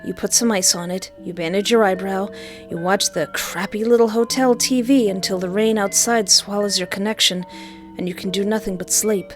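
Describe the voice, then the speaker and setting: A woman reading a visual novel aloud, with playful, animated joking asides between the narration. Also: mid-range voice, normal speed, faint music behind. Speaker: let's play streamer.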